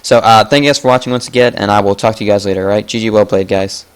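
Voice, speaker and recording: A man's voice: on the low side, fairly even intonation, very fast, smooth. A man giving casual, rambling live commentary over his own match, often correcting himself and addressing viewers as guys. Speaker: gameplay commentator. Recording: loud game music behind voice.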